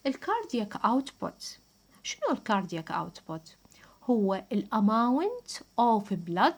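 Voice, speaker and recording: An Iraqi woman teaches in an explanatory lecture style, with a voice of average pitch. She mixes English technical terms into Iraqi Arabic. She restates key terms in a didactic way so that they stick. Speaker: physiology lecturer.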